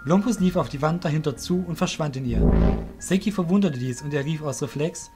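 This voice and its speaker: A man's voice: fairly high pitch, brisk, loud music behind the voice. A man narrating a scripted audio drama as its storyteller.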